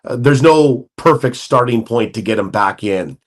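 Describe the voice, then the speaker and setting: A man in the analyst chair of a sports talk show, working through scenarios out loud in a long, expansive stretch. His pitch sits in the everyday middle for a man, and he keeps building each point. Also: lively intonation, normal speed. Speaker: hockey analyst guest.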